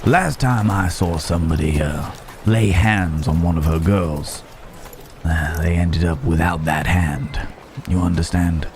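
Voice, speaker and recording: A man playing a roguish, wry but protective Wild West cowboy in an ASMR roleplay, with a halting, teasing drawl. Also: sits low, darkish tone, a little hiss or hum. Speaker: audio roleplay voice actor. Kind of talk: ASMR roleplay acting, addressed to the listener.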